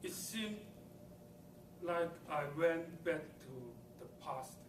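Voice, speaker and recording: A man's voice: fairly high pitch, expressive, takes it slowly. A man from southern China whose first language is Cantonese, giving a prepared first speech in English with a Chinese accent, hesitant and filled with ums and uhs. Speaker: first-time club speaker. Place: meeting room. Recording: echoey room, mic far off, background noise.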